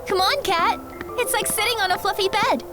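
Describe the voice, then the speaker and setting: A woman's voice: extremely high pitch, moderate pace. A woman playing a sweet, polite and eager young woman in an audio drama, gushing. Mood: delighted. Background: light music.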